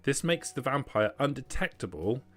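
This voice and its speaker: A man's voice: medium pitch, lively intonation, normal speed, fairly bright tone. A man running a tabletop roleplaying game as game master, narrating scenes and explaining the rules. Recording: music behind the voice.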